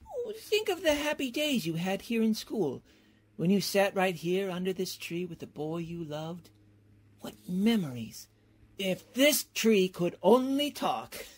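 A performer voices a flattering, theatrical male professor in an old-time radio comedy. The voice is husky and very animated. He is eager and a little bumbling, given to hesitant filler words. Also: mid-range voice, a little slow.

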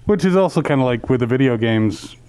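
A man's voice: mid-range voice, lively intonation, fairly quick, mellow, clear, resonant tone. A middle-aged man asking casual interview questions into a handheld mic through a face mask. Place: indoor lounge with sofa at a convention. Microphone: handheld interview mic, speaking through a face mask.

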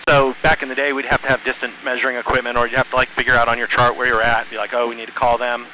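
A man's voice: medium pitch, level tone, very fast. An American man talking casually as he pilots a small plane, explaining things and making radio calls through a headset. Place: small propeller plane cockpit in flight. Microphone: aviation headset mic. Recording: muffled headset intercom, bright, aircraft engine noise.

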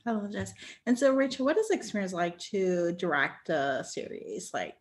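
Woman, podcast host, animated pitch swings, medium register, encouraging, casual. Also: normal speed. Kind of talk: casual interview.

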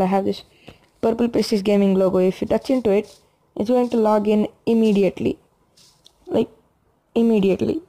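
A young woman giving a casual phone tutorial in a hushed, low-key late-night voice. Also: gravelly. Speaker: gaming channel host.

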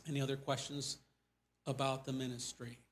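A missionary pastor in his forties with a Mexican accent, speaking casually in a church and taking questions, animated and gesturing as he talks. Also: medium pitch, normal speed, a little hiss or hum. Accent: Mexican Spanish. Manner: animated, gesturing, voice rising when stressing points. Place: church sanctuary before a pulpit. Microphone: lapel mic.

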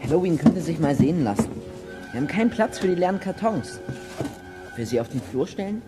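A man's voice: fairly high pitch, very animated, a little fast, over music. A male actor playing a bold, wry boy detective in a children's audio drama, with a theatrical manner. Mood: scheming.

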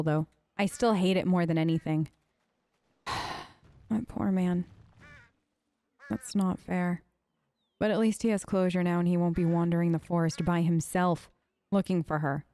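A woman's voice: on the low side, a little mellow, no room sound. Woman gamer, close and dry. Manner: informal, earnest, empathetic. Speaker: gamer, let's play host. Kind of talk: casual role-play game commentary, talking in character.

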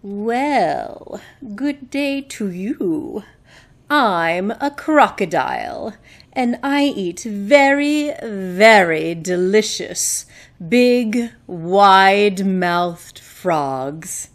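A woman's voice: medium pitch, animated, pitched below the performer's own voice. A woman voicing a polite but sly, hungry crocodile in a children's puppet show, relishing and stretching the words. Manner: slow, relishing, drawn-out.

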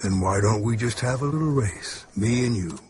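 A man voicing a gruff, dry-humored old race car from a cartoon. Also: fairly low pitch, normal speed, a little mellow, slight background noise, close to an everyday voice.

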